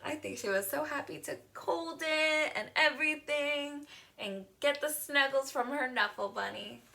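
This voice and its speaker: A woman reading a picture book aloud to young children in an expressive teacher's manner, drawing words out. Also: high-pitched, measured pace, vocal fry, a little hiss or hum. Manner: expressive, asks the listener questions, gasps, draws words out.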